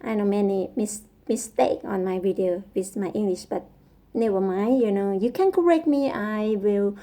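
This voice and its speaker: A woman with a Thai accent, speaking English as a second language in a casual practice vlog, halting and searching for words with frequent restarts. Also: medium pitch, mellow. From Thailand. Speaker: vlogger.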